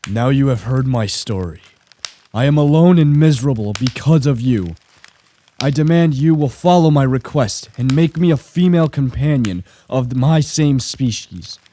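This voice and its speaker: A man's voice: mid-range voice, expressive, normal speed, mellow, slight background noise, close to an everyday voice. A man acting a lonely, vengeful monster in a radio play, speaking in a grave, formal, aggrieved way. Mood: vengeful, demanding. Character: lonely, vengeful male monster created by a scientist. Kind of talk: radio play narration and dialogue.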